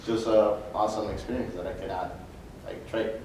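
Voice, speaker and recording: Casual young man with a low voice. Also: fairly even intonation, a little fast, a little mellow. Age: young adult. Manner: casual, lots of "like". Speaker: student panelist. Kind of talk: panel talk. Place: hotel meeting room. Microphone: handheld mic. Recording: echoey room from far off, faint noise.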